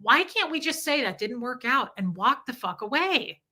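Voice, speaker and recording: Woman, 40s, livestream host; bright, slightly high voice, candid and profane. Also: sing-song, moderate pace. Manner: candid and profane, reading viewer comments aloud. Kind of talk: casual livestream chat reading super chats.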